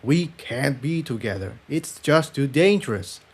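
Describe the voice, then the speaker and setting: Man as a quiet, earnest stallion. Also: mid-range voice, lively intonation, slightly rough. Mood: troubled confession. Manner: quiet, serious, halting. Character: earnest, troubled male unicorn pony adventurer.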